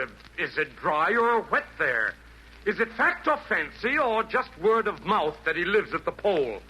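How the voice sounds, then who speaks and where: An actor playing a fussy, whining, reluctant Roman tyrant from hell in a rhymed 1940s radio comedy, plaintive and theatrical. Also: male voice, sits high, sharp tone, husky, heavily acted. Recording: noisy old 1940s radio recording.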